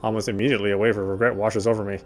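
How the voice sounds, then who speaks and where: A man's voice: on the low side, very fast, faint music behind. Male narrator reading a horror visual novel. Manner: steady reading with frequent restarts of words.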